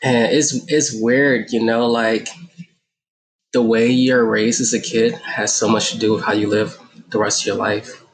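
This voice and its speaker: A man in his thirties from Guyana, a podcast guest talking in a relaxed, reflective way with many fillers. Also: fairly low pitch, measured pace, poor audio. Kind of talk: casual interview talk.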